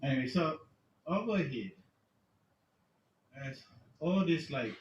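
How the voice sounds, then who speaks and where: A man's voice: a little high, animated. Middle-aged man in a roomy, dull recording. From Malaysia. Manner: halting, casual. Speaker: guest storyteller, music archivist. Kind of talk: informal narrated tour of his collection. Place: recorded video inside a room at home. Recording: roomy, dull video audio, faint noise.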